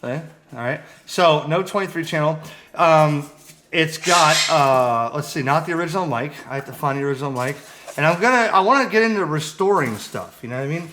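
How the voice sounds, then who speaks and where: A man hosting a casual hobby radio vlog, chatting to camera in an excitable way and often repeating himself. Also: fairly high pitch, a little fast, slight background noise. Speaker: ham radio vlogger, host.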